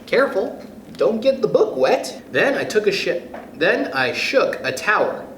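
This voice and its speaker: Male children's storytime reader, higher-pitched and very animated, addressing kids directly, recorded in a roomy space. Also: unhurried. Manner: expressive, addresses young listeners directly. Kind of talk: picture book read-aloud for children. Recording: roomy echo with faint background noise.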